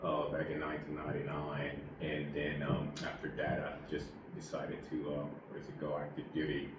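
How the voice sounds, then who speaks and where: Very deep-voiced man, rambling, distant. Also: monotone, heavy reverb, heavy background noise. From Puerto Rico. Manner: rambling, halting, many fillers. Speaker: guest, army veteran. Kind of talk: casual interview storytelling.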